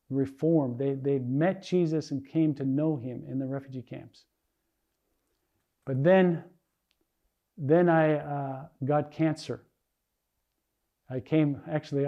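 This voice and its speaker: A man's voice: mid-range voice, dull audio. An older man from the United States giving an earnest sermon testimony, telling his story with frequent restarts. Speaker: preacher.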